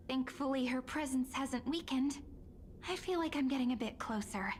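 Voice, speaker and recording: High-voiced plucky young heroine. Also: female voice, measured pace, faint music behind. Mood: playful, puzzled. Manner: expressive, musing aloud to herself. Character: young, plucky, curious heroine on a stealth mission.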